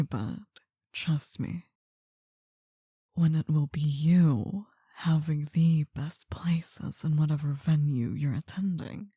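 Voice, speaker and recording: A woman's voice: low-pitched, dry recording, clean studio sound, full character voice. A woman playing a possessive, world-weary older mafia boss in an intimate whispered ASMR roleplay, tender and confiding. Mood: possessive, coaxing. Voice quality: breathy, soft whisper.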